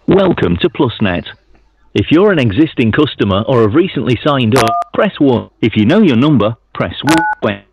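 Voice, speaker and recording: A man's voice: mid-range voice, very animated, normal speed, slight background noise. A man reading a scripted customer phone menu announcement over a phone line.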